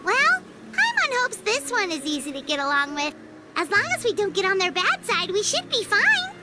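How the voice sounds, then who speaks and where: Tiny, squeaky, excitable fairy girl. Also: normal speed, heavy background noise, full character voice. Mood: eager. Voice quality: squeaky, childlike. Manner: chirpy, speaks of self in third person. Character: small excitable childlike floating fairy companion girl. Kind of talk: video game voice acting. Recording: game music behind the voice.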